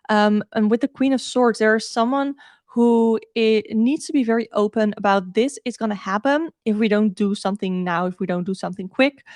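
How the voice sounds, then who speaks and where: A woman's voice: medium pitch, dry recording, clean studio sound. A woman from the Netherlands with a Dutch accent, chatting casually as a live-streaming tarot reader. She thinks aloud informally and often repeats herself.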